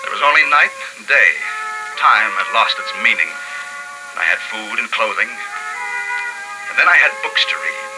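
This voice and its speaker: Old radio drama with music under it: an actor as a grave American man, the lone survivor of a dead world. He is brooding and emphatic, and his mid-pitched voice rises and falls dramatically. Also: animated, unhurried, long pauses, natural voice, poor audio. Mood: haunted, bleak. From California. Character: solitary male survivor narrating a post-apocalyptic world, grave and haunted.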